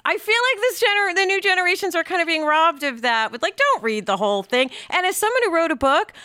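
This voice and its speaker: Lady cohosting a podcast, high lively voice, emphatic and opinionated chat. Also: fast talker, no echo, clean studio sound. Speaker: podcast co-host.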